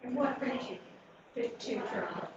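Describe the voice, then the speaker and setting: A woman's voice: fairly high pitch, lively intonation, moderate pace. A woman rabbi chatting in a friendly, teasing way, then welcoming everyone. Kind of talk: casual chat, then opening welcome. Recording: distant, roomy, muffled, noisy.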